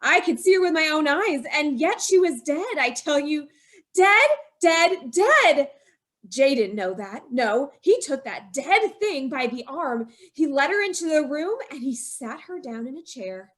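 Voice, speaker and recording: A woman's voice: high-pitched, sing-song, unhurried, a bit bright, exaggerated voice. A woman acting a distraught, secretive wife in an old-time radio horror play, telling her tale with dramatic, emphatic repetition. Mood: haunted, bitter.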